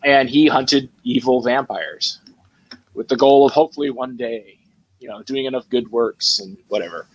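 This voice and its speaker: A man hosting a casual panel conversation in an animated, jokey, informal way. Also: medium pitch, normal speed, slightly rough, poor audio. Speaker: panel host.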